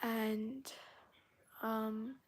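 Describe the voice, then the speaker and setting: High-voiced young woman venting on a live stream. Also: level tone, extremely slow. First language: Spanish. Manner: venting, emphatic, filler-heavy with frequent restarts. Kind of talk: casual live stream chat.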